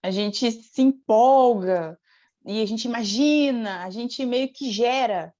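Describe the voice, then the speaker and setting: High-voiced Brazilian woman, slightly muffled. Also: takes it slowly, dark tone. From Brazil, born in Rio de Janeiro state, raised in Paraná from age eight. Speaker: singer, interview guest. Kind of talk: casual chat, storytelling.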